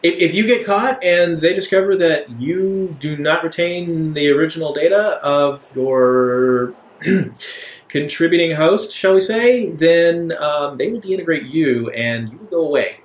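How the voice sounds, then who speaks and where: A man's voice: fairly high pitch, measured pace, voice pushed up, close to an everyday voice. A man playing a flustered male guide who speaks inside someone's head, hesitant, evasive and apologetic. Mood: urgent, hesitant. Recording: roomy sound under guitar music.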